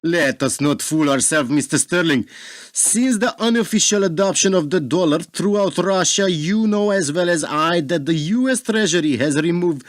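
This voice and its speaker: A grave, defiant Russian ambassador played by a man in a radio drama, formal and emphatic. His fairly high voice moves widely in pitch, and the sound is dry and close. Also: animated, normal speed, dry recording, natural voice. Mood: aggrieved, indignant.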